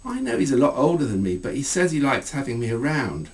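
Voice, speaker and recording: A man's voice: mid-range voice, very animated, quick pace, distant mic, pitched above the performer's own voice, close to an everyday voice. A man voicing an earnest, defensive teenage girl in a book reading, protesting as she talks.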